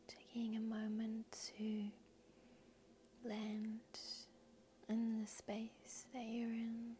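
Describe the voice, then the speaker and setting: A woman guiding a meditation in a soft, breathy, whispery voice, speaking gently and soothingly with long unhurried pauses. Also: on the high side, monotone, slight background noise. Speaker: yoga nidra guide and host. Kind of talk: guided meditation narration. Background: background music.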